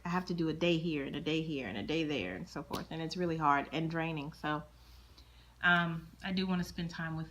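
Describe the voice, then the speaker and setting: A woman's voice: on the low side, sharp tone, slight background noise. A middle-aged woman chatting casually to her camera at home, rambling and repeating words as she thinks aloud. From born in Germany, military child. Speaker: vlogger. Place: indoors at home, windows open.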